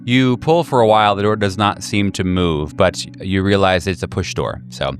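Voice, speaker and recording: A man's voice: sits low, normal speed. A man running a tabletop horror game as game master, improvising narration in a casual way. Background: background music.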